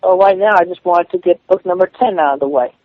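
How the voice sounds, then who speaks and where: A man speaking defensively on a phone call, halting and repeating himself. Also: high-pitched, no room sound. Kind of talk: phone conversation, answering challenges. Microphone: telephone call. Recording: dull lo-fi phone call recording.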